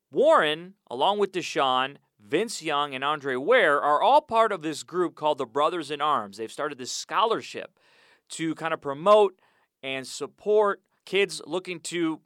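Chatty upbeat podcast host, dry booth. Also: male voice, on the high side, fairly bright tone, smooth, dry recording. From United States. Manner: chatty, upbeat, rambling asides. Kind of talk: casual podcast intro and interview. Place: small audio booth.

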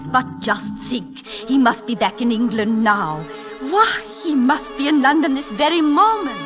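A woman's voice: sits high, lively intonation, unhurried, music in the background. An actress playing a gossipy English society lady in a 1940s radio play, theatrical and emphatic. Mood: gossipy excitement.

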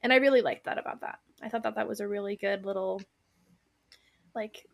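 A woman's voice: medium pitch, fairly quick, gravelly. A woman co-hosting a book club podcast, talking casually and chattily, often saying "like" as she goes.

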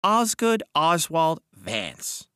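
A man's voice: huge pitch swings, extremely slow, pushed and bright, clear, resonant tone, no room sound, studio quality, full character voice. A man voicing a sinister, complacent little man in an audiobook story, precise and coolly commanding. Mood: complacent reciting. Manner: raised pitch, precise, complacent. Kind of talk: dramatic audiobook character voice.

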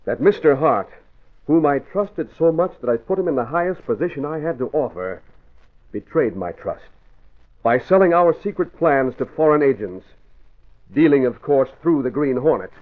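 An actor playing a formal, self-important company boss with a wounded air, in 1940s radio style. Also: male voice, mid-range voice, lively intonation, moderate pace, close to an everyday voice. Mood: grave, wounded. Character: pompous, wounded company boss feigning regret. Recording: tinny, narrow vintage radio recording.

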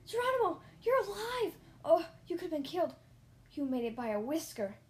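A woman acts out a storybook mouse's fussing rodent relatives. The voice is high and excitable, full of chiding and concern. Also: slow pace. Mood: alarmed, relieved. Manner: exclamatory, chiding.

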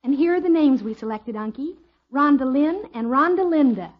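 Eager young niece, high and animated, muffled vintage radio comedy. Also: female voice, moderate pace. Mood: happy, proud. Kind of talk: old American radio comedy acting. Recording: muffled old radio recording.

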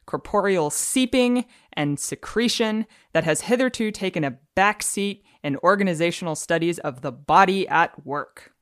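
A woman with a slightly low voice reads academic prose aloud as a podcast guest. Her delivery is formal and measured, a dramatic reading kept straight-faced. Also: expressive.

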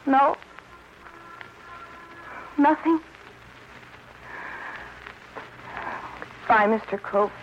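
A woman's voice: sits high, slow, soft background music. An actress playing a desperate, proud young woman, intense and bitter in 1950s radio melodrama style. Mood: desperate insistence. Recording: muffled, noisy old radio recording.

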